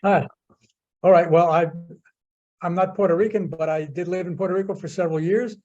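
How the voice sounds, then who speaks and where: A man from New York City introducing himself in an easygoing, slightly halting way at an online meeting. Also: sits high, steady tone, a little mellow, lo-fi sound. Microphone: video call.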